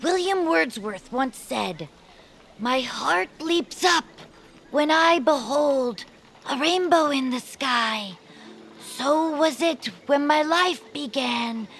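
A plucky young inventor bird in a family audio drama. The voice is high with a creaky edge, stubbornly confident and dreamy, with music underneath. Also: female voice, expressive, slow pace, fairly bright tone, exaggerated voice. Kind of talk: family audio fiction acting.

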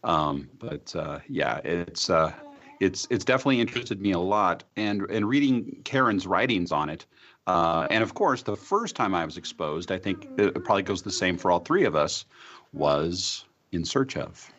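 A man's voice: deep voice, lively intonation, normal speed, a bit bright, dull audio. A man co-hosting a podcast, speaking casually and hesitantly with many fillers. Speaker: podcast co-host. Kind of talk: casual chat.

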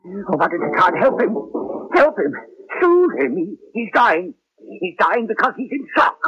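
Anguished, overwrought surgeon, wide-swinging husky voice. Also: male voice, mid-range voice, sing-song. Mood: frantic pleading. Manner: halting, overwrought, repeating phrases. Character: anguished human surgeon obsessed with discrediting robot doctors. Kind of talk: old-time radio drama acting. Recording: dull, lo-fi old radio recording.

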